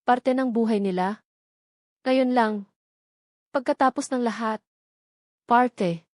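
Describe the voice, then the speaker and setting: A woman's voice: a little high, slow pace, no room sound, studio quality. A woman narrator playing a wounded, sarcastic young single mother with a Filipino accent in an audio romance drama. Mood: sarcastic, angry. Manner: cold, sharp, accusing.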